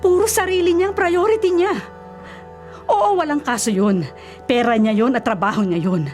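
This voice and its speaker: A woman's voice: sits high, moderate pace, darkish tone, faint music behind, lightly acted. An actress playing an older, worried and caring Filipino mother in a radio drama, fussing and nagging at her grown son. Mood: upset, pleading.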